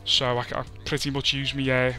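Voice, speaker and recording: A man's voice: medium pitch, monotone, a little fast, sharp tone, faint music behind. A man with a Scouse accent casually commentating over a football video game, chatty and self-deprecating. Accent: Scouse (Liverpool). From Liverpool, England. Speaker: gaming commentator. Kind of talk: casual let's play commentary.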